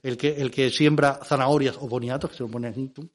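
A man's voice: mid-range voice, steady tone, quick pace. A man from Spain with a Spanish accent doing joking radio chat, theatrical and repeating himself for emphasis. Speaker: comic regular of a radio show segment.

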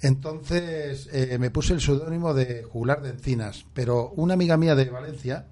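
A man from Catalonia, a poet guest on a radio magazine, answering in an earnest, measured way with soft hesitations. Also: mid-range voice. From Catalonia, Spain. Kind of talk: poetry recitation and interview answers.

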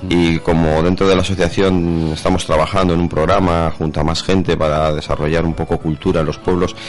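A man's voice: deep voice, flat delivery, brisk. A man co-hosting an unscripted radio conversation, with hesitant, roundabout phrasing. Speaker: radio section co-host. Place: radio studio.

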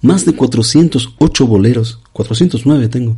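A man's voice: medium pitch, moderate pace, dark tone, husky. A man voicing a pompous, absurd aging bolero crooner who is a guest on an interview show, in a comedy sketch. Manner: grandiose, addresses host as young man.

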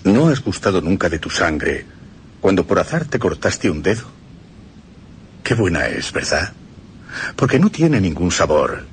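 A man's voice: sits low, very animated, unhurried, pauses often, a little hiss or hum. A man with a Spain Spanish accent reading literary passages aloud on radio in an intense, theatrical, insinuating way. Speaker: reader of quoted texts. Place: radio studio.

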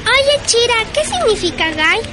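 A woman's voice: extremely high pitch, big pitch swings, a little slow, music in the background, exaggerated voice. A female performer with an Ecuadorian accent playing a nosy, naive, playful little girl in a radio drama. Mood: naive, curious. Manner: childlike, playful. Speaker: actor in a radio serial.

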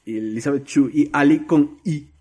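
A man with a fairly high voice tells a movie scene in a loose, chatty podcast. He curses freely, stumbles and restarts, and piles on slang as the story builds. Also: normal speed, a little mellow. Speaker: podcast co-host. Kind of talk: casual film chat.

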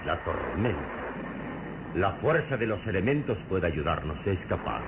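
An actor playing a calm, all-knowing male hero in a vintage radio serial, solemn and deliberate. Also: sits low. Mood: solemn, confident. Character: calm, all-knowing heroic male adventurer, mentor to a boy. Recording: noisy old radio serial recording.

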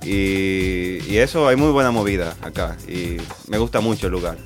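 A man's voice: low-pitched, moderate pace. An easygoing, appreciative man with a Dominican Spanish accent, answering casually as a DJ being interviewed. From Dominican Republic (Santo Domingo). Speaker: interview guest, DJ and music producer. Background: music playing behind the talk.

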